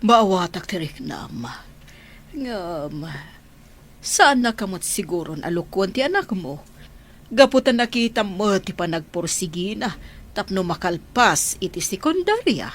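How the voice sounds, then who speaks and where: Lively, sympathetic confidante. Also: female voice, mid-range voice, a little slow. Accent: Filipino, Ilocano. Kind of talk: radio drama acting.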